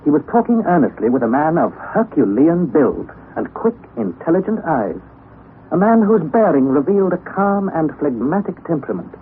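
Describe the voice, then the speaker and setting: Male radio drama narrator, old tinny broadcast. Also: on the high side. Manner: measured storytelling, expressive. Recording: phone-like narrow 1960s radio broadcast with faint hiss.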